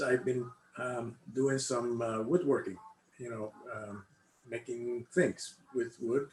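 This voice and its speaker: An older man from Cuba answers casual interview questions about his life, often pausing to search for his words. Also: mid-range voice. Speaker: interviewee, congregation member. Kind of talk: casual personal interview, recollecting. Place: indoor room, online video call. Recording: echoey room, faint background noise.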